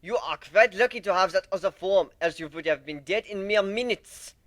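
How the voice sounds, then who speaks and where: A man voicing an excitable ship's surgeon in a fantasy story. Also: high-pitched, moderate pace, no echo, pitched above the performer's own voice. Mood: excited.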